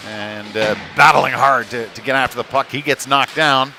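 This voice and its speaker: A man doing live hockey play-by-play in a loose, conversational way, with hesitant pauses mid-call. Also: mid-range voice, bright, forceful tone. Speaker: play-by-play commentator. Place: ice rink. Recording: noisy live rink ambience.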